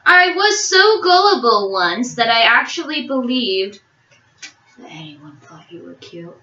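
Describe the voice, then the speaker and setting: Bright giggly young woman, roomy far sound. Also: on the high side, big pitch swings, a little slow. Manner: giggly, rambling, self-mocking. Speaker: channel co-host. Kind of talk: casual chat game with friends. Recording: dull roomy sound from a distant mic.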